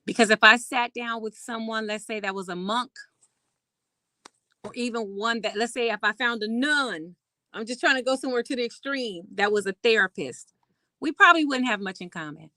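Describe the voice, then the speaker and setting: Bright emphatic woman psychologist. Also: fairly high pitch, moderate pace, no echo. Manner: emphatic, chatty, laughs often. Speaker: clinical psychologist and host. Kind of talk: casual talk on mental health.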